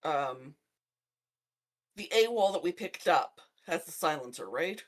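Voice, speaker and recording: A woman's voice: deep voice, lively intonation, unhurried. A woman talking casually as a player in a tabletop roleplaying game. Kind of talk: casual group chat during a game.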